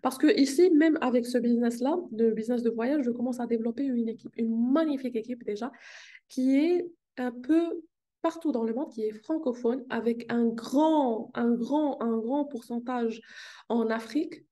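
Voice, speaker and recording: Warm-voiced woman vlogging. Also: on the high side, moderate pace. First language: Arabic. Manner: earnest, upbeat, repeats phrases for emphasis. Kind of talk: casual motivational vlog talk. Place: hotel meeting room.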